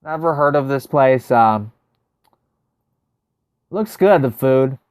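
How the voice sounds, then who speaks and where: A man's voice: medium pitch, lively intonation, slow pace, darkish tone, smooth, dry recording. A man, chatting casually as a livestream host, thinking aloud and answering viewers. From United States. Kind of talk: casual livestream gameplay chat.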